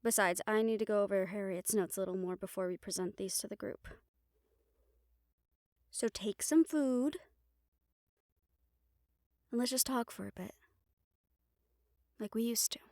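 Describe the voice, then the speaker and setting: A woman playing a gentle, caring young banshee in an intimate audio roleplay, speaking softly and soothingly, with some phrases trailing into a whisper. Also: mid-range voice, unhurried, pauses often, no echo, studio quality, natural voice. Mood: warm, inviting. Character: gentle young female banshee from Puerto Rico, caring crush. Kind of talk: intimate audio roleplay acting, one-sided conversation.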